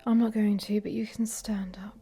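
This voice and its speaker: A woman voicing an easygoing, cheeky meditation guide in a breathy ASMR whisper, with gentle, rambling instructions. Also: mid-range voice, flat delivery, moderate pace, close to an everyday voice. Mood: soothing, gently cheeky. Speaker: ASMR host leading a relaxation session.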